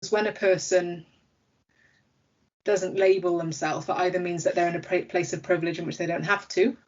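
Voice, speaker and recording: A woman in her thirties with a lowish voice, explaining herself somewhat hesitantly in an interview. The recording sounds a little dull and lo-fi. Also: fairly even intonation, normal speed, a little mellow. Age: 30s. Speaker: interviewee, university staff member. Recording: muffled lo-fi sound.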